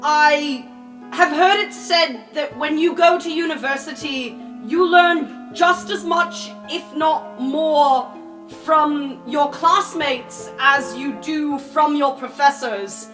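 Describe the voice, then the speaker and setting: A woman's voice: high voice, slow, fairly bright tone, faint music behind, rough recording. Gary, a woman playing an earnest young student. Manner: earnest, formal speech-giving. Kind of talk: improvised tabletop roleplay.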